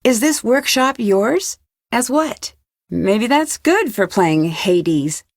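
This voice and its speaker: A young American woman voicing a polite, inquisitive amateur detective in a scripted adventure game, composed and clearly spoken. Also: on the high side, expressive, slow, dry recording, studio quality, heavily acted. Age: young adult.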